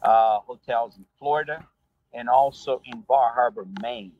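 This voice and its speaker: A Brazilian man, Portuguese his first language, speaks English with a husky voice. He rambles casually with lots of fillers. The sound is dry and a little dull. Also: medium pitch, unhurried, no room sound. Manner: relaxed, rambling, many fillers. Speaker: rideshare driver guest. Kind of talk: casual interview storytelling.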